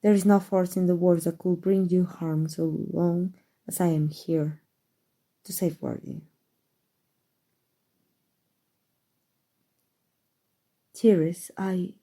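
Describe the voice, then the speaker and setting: Woman performing an aristocratic female vampire, very soft dark timbre, lowish pitch, refined formal phrasing, doting and protective, ASMR roleplay. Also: unhurried. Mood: protective, tender.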